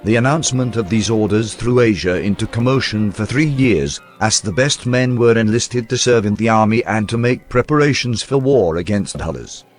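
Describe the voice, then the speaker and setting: Give me a man with a fairly low voice reading history in a steady, measured narration. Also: soft background music. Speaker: reader of historical text. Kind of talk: scripted documentary narration.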